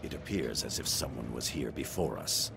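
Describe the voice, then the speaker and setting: A man voicing a cerebral robot scientist in a video game, formal, clinical and detached, with a gravelly voice. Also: lively intonation, normal speed, full character voice. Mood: clinical, measured. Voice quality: very deep, gravelly. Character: cold, cerebral male robot scientist commander. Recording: loud music behind.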